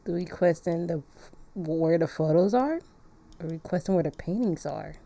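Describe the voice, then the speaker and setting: Woman streamer chatting, dark mellow voice. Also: fairly low pitch, moderate pace. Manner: playful, chatty, reacting aloud to the game. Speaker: game streamer. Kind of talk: casual live-stream chat while playing a visual novel.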